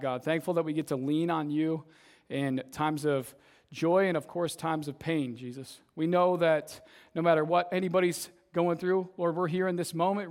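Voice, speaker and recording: A young adult man, a youth pastor, preaching a casual sermon to a church congregation, his voice slightly raspy from shouting, often ending sentences with "right?". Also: on the high side. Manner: conversational, tags sentences with "right?". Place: church service, in front of a congregation.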